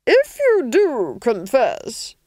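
Storybook villainess duchess: high, very swoopy, slow, imperious, sadistic, menacing. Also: female voice, wildly swooping pitch, a little mellow, no echo, studio quality, exaggerated voice. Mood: cold interrogation. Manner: haughty, drawn-out, menacing.

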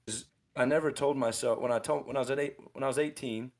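A man's voice: mid-range voice, steady tone, fast talker, darkish tone. A man in his twenties co-hosting a casual podcast, giving rambling motivational advice with lots of restarts and self-corrections. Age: 20s. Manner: rambling, ranting, with frequent restarts. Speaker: podcast co-host.

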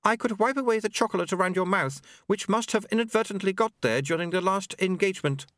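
Man putting on a high, meek, formal young woman. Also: lively intonation, moderate pace, a bit bright, no room sound, clean studio sound. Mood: meek, diplomatic. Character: polite, literal-minded, earnest young woman.

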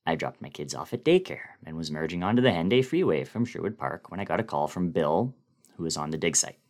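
Man reading as deep-voiced, troubled project manager. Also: big pitch swings, a little fast, darkish tone. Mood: troubled, questioning. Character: uneasy, sleepless male construction project manager confessing. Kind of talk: dramatic first-person horror story reading.

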